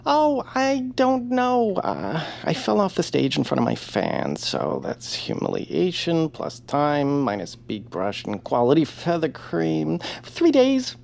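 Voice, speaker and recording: A man's voice: a little high, huge pitch swings, takes it slowly, creaky, hardly any pauses, darkish tone, full character voice. An actor playing a fussy, washed-up male bird who once voiced a radio hero, talking in a rambling, flustered, theatrical way. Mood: gloomy. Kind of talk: audio drama acting.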